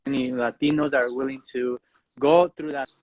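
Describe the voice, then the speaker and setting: A man talking as a podcast guest in a reflective, earnest, halting way, often checking in with "you know" and "right?". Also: medium pitch, little pitch movement, darkish tone, a little hiss or hum, rough recording. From Mexican background. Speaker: podcast guest, fatherhood coach. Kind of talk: reflective personal conversation.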